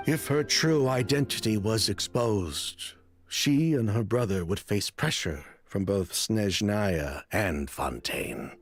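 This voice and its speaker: A man's voice: on the low side, slow pace, soft background music. An actor voicing a weary male ex-convict in a game, explaining his past in a heavy, resigned way with frequent sighs. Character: weary male ex-convict, bitter, confessing old schemes. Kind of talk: game voice acting.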